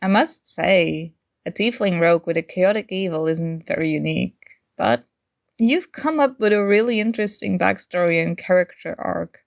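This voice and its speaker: A woman's voice: fairly low pitch, normal speed, vocal fry. A woman playing a playful, nerdy young gamer chatting with her crush in a casual, teasing ASMR roleplay. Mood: friendly, chatty. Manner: teasing, animated, conversational. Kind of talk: ASMR roleplay acting, casual conversational chat.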